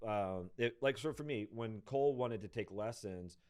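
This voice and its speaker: A middle-aged man with a hoarse voice, a pastor co-hosting a casual talk, thinking aloud with frequent restarts. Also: lowish voice, normal speed, darkish tone. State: losing his voice. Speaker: pastor and podcast co-host. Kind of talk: casual conversational discussion.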